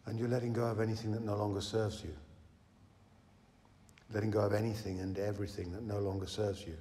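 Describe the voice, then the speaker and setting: A man leading a guided meditation as a teacher, speaking slowly and gently with long unhurried pauses. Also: lowish voice, little pitch movement. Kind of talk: guided meditation, slow deep-relaxation instruction. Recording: reverberant hall, some background noise.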